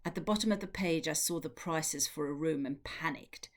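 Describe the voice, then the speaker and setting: Low-voiced woman reading literary prose. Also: normal speed. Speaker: literary translator reading from a novel. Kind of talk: audiobook-style narrated prose reading.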